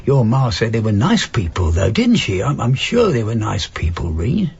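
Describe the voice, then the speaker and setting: An actor playing an elderly working-class husband, gentle, hesitant and loyal, in a naturalistic radio drama. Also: male voice, on the low side, wildly swooping pitch, normal speed, creaky, husky, muffled recording, heavily acted. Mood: tender. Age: older.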